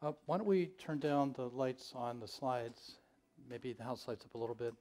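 Older man, conference keynote, measured delivery, filler words, mid-pitched voice, lapel mic on a large stage. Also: a little mellow. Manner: measured, thoughtful, with many ums and uhs. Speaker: keynote speaker.

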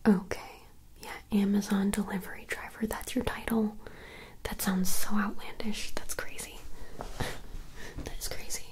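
A young woman playing a fantasy woman who is puzzled by modern things, whispering softly into a close mic with dramatic, playful reactions. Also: mid-range voice, flat delivery, a little slow, long pauses, lightly acted. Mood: teasing. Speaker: ASMR roleplayer. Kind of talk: ASMR roleplay.

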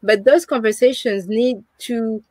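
A young woman with a French accent talks earnestly over a video call, her voice sitting a little high and sounding close and dry. She often restarts her phrases and fills them with "you know". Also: unhurried, warm tone, no echo. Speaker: interview guest. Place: home room, indoors.

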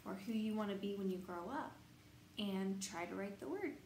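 A young woman reading a children's bedtime picture book aloud in an expressive, sing-song, teacherly way, emphasizing key words. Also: mid-range voice, normal speed, gravelly. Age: young adult. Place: small glassed-in sunroom. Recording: roomy echo, light background noise.

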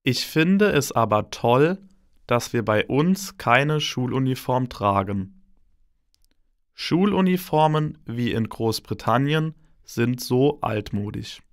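Male reader, slow and deliberate delivery, dry booth sound. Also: medium pitch, animated, dry recording. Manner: slow and carefully enunciated. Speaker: exam recording speaker. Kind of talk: slow scripted exam reading.

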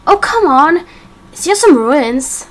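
A woman's voice: sits high, animated, takes it slowly. A woman voicing a brash, cocky seven-year-old boy in a game roleplay, eager, teasing and boastful. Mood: cocky, insistent. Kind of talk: amateur game roleplay acting.

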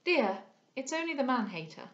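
A woman's voice: sits high, sing-song, brisk, echoey room, muffled recording, pitched above the performer's own voice. A woman voicing a careless, gushing society lady with an offhand, exclamatory manner in an audiobook. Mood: breezy, gushing. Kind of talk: dramatised audiobook character voice.

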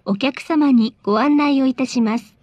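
A woman reading scripted bus announcements in a polite, formal, measured way. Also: high-pitched, darkish tone, no echo, muffled recording.

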